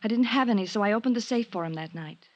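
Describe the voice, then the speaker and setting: A woman's voice: medium pitch, fast talker, natural voice. A woman playing an anguished, guilt-laden wife in a 1950s radio drama, earnest and melodramatic. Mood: ashamed, defensive. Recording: noisy 1950s radio recording.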